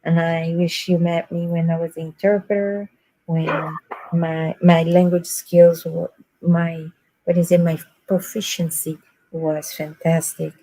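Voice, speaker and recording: Brazilian woman with slightly slurred, flat speech. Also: fairly low pitch, unhurried, rough recording. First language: Portuguese. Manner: searching for words. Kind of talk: casual chat.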